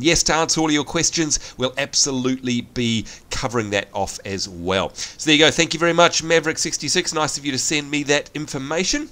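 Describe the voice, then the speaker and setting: An Australian man with a congested, sniffly voice from hay fever, giving chatty gameplay commentary and viewer shout-outs. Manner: chatty, upbeat, lively pitch swings. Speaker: gaming channel host.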